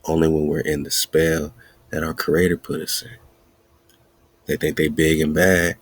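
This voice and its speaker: Deep gravelly-voiced man riffing excitedly. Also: measured pace. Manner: excited, rambling, laughs often, wordplay. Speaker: podcast host. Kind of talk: casual commentary.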